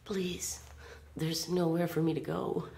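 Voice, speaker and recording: Low, gravelly wry fugitive woman. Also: level tone, slow, dark tone, slight background noise, heavily acted. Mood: pleading, anxious. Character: defiant woman fugitive recounting her escape wryly. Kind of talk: audio drama monologue.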